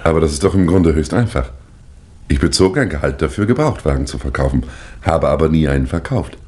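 A man's voice: extremely low pitch, animated, a little fast, darkish tone. A man playing a mild, maddeningly literal schoolteacher in a 1960s German radio crime play, courteous and hair-splitting. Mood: unruffled, literal.

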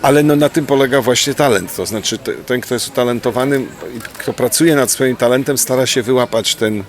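A man's voice: mid-range voice, fairly quick, a little hiss or hum. Thoughtful man reflecting on poetry. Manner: thoughtful, with restarts and tag questions. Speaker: poet. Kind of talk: reflective interview talk.

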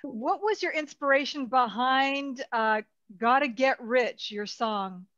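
A woman asks careful, measured interview questions in a high voice. The sound is dry and dull, close to the mic on a video call. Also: a bit bright, no room sound.

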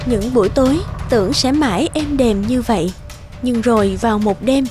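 A woman narrating a scripted children's story in a gentle, expressive way. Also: a little high, moderate pace. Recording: music behind.

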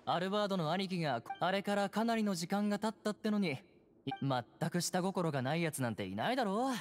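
A man's voice: high voice, expressive, fast talker, soft background music. An actor playing a friendly, eager young male shopkeeper in an anime game, with breezy informal talk. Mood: admiring.

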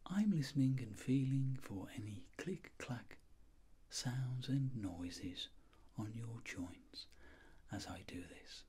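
A middle-aged man speaking in a soft, breathy, mostly whispered voice, gently and deliberately explaining each step as a doctor in an ASMR role-play. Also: mid-range voice, expressive. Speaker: ASMR performer playing a doctor examining a patient.

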